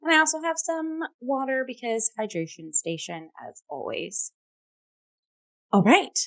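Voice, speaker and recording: A woman's voice: on the high side, big pitch swings, a little slow, fairly bright tone. A woman hosting a conversational arts review show in a chatty, upbeat and effusive way.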